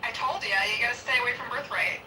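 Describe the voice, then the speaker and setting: A woman's voice: on the high side, fast. A woman guest in casual chat, giving dry, matter-of-fact pushback. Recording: distant echoey line with loud noise.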